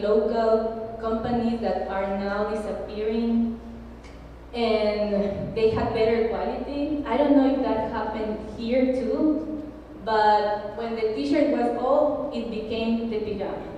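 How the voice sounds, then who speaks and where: A woman's voice: fairly high pitch, slight background noise. Ecuadorian woman in an echoey gallery. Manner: animated storytelling with laughs, mixes in Spanish words. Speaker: panelist. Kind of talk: casual panel talk. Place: gallery panel with audience. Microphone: handheld mic, recorded by far camera. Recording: echoey hall from far off.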